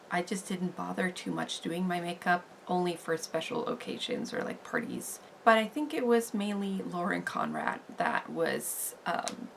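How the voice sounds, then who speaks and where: A Norwegian woman in her thirties with a Norwegian accent, chatting casually on her beauty channel, unhurried and full of "like" fillers. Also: fairly low pitch, creaky, a little hiss or hum. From Norway. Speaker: beauty vlogger. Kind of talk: casual get-to-know-me chat.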